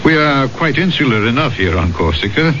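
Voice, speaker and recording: An actor playing a polite, inquisitive Frenchman in a 1970s radio drama, speaking in a formal, measured way. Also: mid-range voice, sing-song, background noise, close to an everyday voice. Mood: polite, probing. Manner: courteous, formal, measured storytelling. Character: polite, inquisitive Frenchman of Corsica, narrating his own investigation. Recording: dull old radio broadcast, loud music.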